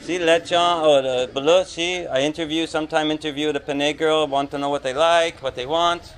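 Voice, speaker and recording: A man's voice: on the high side, fairly even intonation, a little fast, poor audio. Chatty Canadian man vlogging outdoors. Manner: chatty, simple broken phrasing with locals. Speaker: travel vlogger. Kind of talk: casual walking vlog chat. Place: outdoors in a busy village neighborhood. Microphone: action camera held or worn on body. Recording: outdoor crowd and street noise.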